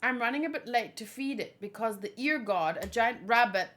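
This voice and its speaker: A woman reading the part of a hurried castle servant who gives travellers matter-of-fact, ominous warnings in a manga read-along. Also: fairly high pitch, expressive, fairly bright tone. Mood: grave warning.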